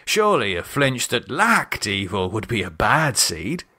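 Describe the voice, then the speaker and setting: A man reading his own horror novel as a scripted audiobook, with dramatic, deliberate storytelling. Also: lowish voice, very animated, sharp tone, breathy edge.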